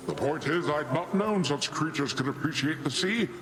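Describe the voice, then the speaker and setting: A man's voice: on the high side, expressive, moderate pace, noisy background, lightly acted. A man acting a boastful, goofy middle-aged sea god uncle in a video game, with a grand, jovial theatrical delivery. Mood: amused, musing.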